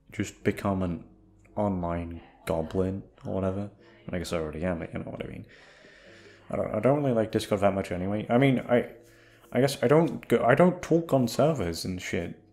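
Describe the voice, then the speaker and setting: Young male, lowish pitch, off-the-cuff sweary ramble to an audience, hesitant and self-deprecating, light music underneath. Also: darkish tone. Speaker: audio roleplay voice actor. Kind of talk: rambling casual chat to listeners.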